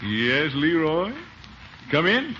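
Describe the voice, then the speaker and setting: Pompous, self-satisfied small-town uncle, raised lively pitch, old-time radio comedy with dulled sound. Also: male voice, slow pace. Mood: indulgent. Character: pompous, vain middle-aged uncle, small-town water commissioner. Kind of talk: 1950s radio comedy acting. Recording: dull, noisy old radio recording.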